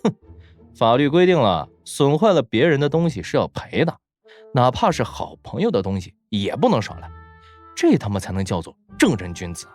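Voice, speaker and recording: A brash young man voiced by an actor in an audio drama, mid-pitched with lively swings. He sounds brusque and smugly self-justifying, with soft music underneath. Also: normal speed, lightly acted. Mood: scornful, smug. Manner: brusque, smug. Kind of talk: Chinese audio drama acting.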